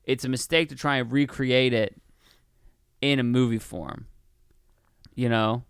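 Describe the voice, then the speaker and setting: A man's voice: medium pitch, steady tone, unhurried, clear, resonant tone, no echo. Casual rambling man, clean close sound. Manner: rambling, peppered with like and swearing. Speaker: podcast co-host. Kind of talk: casual chat, film review.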